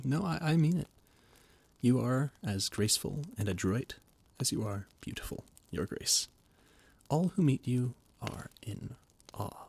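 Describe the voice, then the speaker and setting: Low, slow, gravelly male voice actor, nervous courtly stammer, audio roleplay. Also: a little mellow. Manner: stammering, flustered, formal and courtly. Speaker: voice actor playing a flustered royal adviser. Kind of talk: audio roleplay, scripted monologue.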